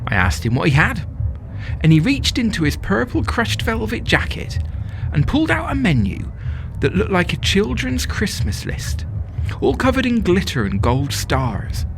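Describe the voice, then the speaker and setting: A man's voice: sits high, wildly swooping pitch, moderate pace, vocal fry, noisy background, full character voice. An actor playing a smitten, self-doubting young festival-goer, telling his story as a confiding, wry dramatic monologue. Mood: wry, amused.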